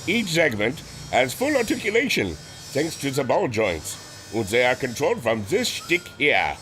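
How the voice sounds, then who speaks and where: An actor playing an earnest, proud inventor with a formal, theatrical manner in a pulp audio drama. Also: male voice, mid-range voice, wildly swooping pitch, normal speed, fairly bright tone, a little hiss or hum. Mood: proud, excited.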